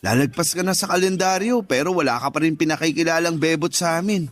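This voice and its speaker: A man's voice: a little high, quick pace, lightly acted. An actor playing a teasing, pushy married best friend in his thirties, with a Filipino accent, coaxing and joking in a radio drama. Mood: coaxing, nagging.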